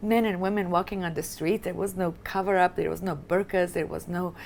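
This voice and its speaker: Passionate low-voiced woman in her fifties. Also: fairly even intonation, a little fast, creaky, darkish tone, slight background noise. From Afghanistan. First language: Italian. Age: 50s. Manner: passionate, emphatic storytelling. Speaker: guest, film director and activist. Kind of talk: interview conversation.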